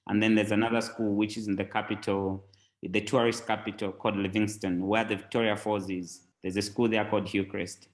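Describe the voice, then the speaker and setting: A man from Zambia, a tech company founder, talking casually as a podcast guest, relaxed and rambling with many fillers. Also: fairly low pitch, a little fast, low-quality recording.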